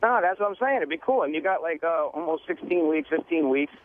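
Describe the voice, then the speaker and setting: A man's voice: fairly high pitch, expressive, brisk. A man of about forty calling into a radio show by phone, chatting casually in an easygoing but insistent way. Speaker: phone-in caller. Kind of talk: casual chat. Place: calling in by telephone. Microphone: telephone. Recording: narrow tinny phone line on radio.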